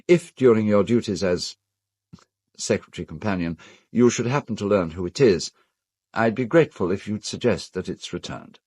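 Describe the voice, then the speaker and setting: An actor playing a fastidious, polite British gentleman host in an audio drama, measured and slightly diffident. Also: lowish voice, breathy edge, no echo. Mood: discreet, troubled. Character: courteous, fastidious gentleman host of an island estate.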